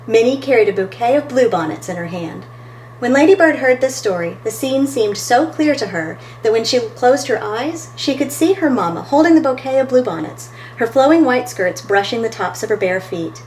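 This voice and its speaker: Lady reading picture book to kids, voice a bit high, expressive, faint hum behind her. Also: low-quality recording. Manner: expressive storytelling, talks to listeners between pages. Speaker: storytime host and reader. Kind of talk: children's picture-book read-aloud.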